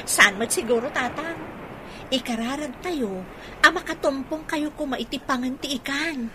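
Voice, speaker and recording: An actress playing an ambitious, devoted young daughter in an Ilocano Filipino radio drama. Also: female voice, sits high, unhurried, noisy background. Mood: affectionate, eager. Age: young adult.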